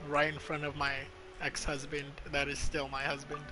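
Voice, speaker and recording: Excitable male game streamer, bright voice. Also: a little high, normal speed, faint music behind. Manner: excitable, slangy, profane, dramatic pleading. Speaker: gaming streamer. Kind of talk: live game reaction commentary.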